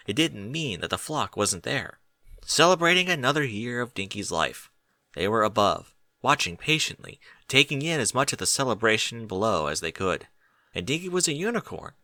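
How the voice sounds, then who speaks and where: Bright-voiced man narrating a story with lively pitch. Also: no room sound, clean studio sound. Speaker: audiobook narrator reading fan fiction.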